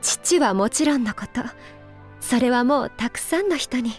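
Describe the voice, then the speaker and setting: A woman's voice: high voice, animated, moderate pace, faint music behind. A woman playing a dignified young princess in a Japanese video game, speaking politely and formally with earnest resolve. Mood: dignified, resolute. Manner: polite, formal, composed speech.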